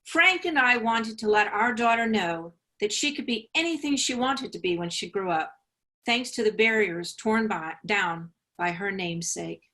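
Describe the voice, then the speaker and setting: A woman's voice: mid-range voice, lively intonation, normal speed, bright, forceful tone. A woman playing a placating, self-absorbed mother with a career in law, in a staged reading of a play. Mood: speechmaking.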